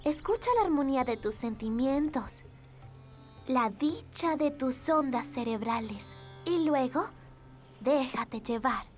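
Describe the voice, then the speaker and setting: A woman's voice: high voice, takes it slowly, faint music behind. A woman voicing a perky, encouraging young girl in a children's cartoon dub, chirpy and instructive. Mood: upbeat, gentle. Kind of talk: animated children's series dub.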